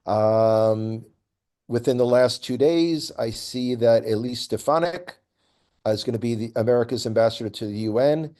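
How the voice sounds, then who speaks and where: A man with a warm, relaxed tone and a medium pitch talks politics as a guest commentator. He is opinionated and emphatic, laying out his points deliberately in a conversational way. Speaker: regular guest commentator on a news update programme.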